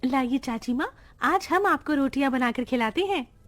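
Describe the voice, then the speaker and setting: A woman voicing a sweet, affectionate niece in her twenties in a cartoon story, expressive and childlike in her eagerness. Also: high-pitched, moderate pace. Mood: caring. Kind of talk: Hindi cartoon story dialogue.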